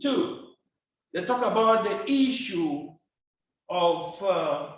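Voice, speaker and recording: Male seminar speaker from Cameroon, slightly high voice, measured formal delivery, picked up from afar in a roomy hall. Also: lively intonation. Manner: slow, deliberate, formal phrasing. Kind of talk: lecture presentation. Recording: echoey hall from a distance.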